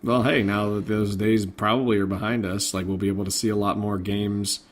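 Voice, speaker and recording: A man's voice: lowish voice, little pitch movement, fairly quick. A man co-hosting a gaming podcast, explaining things in an easygoing, casual way. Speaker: podcast co-host.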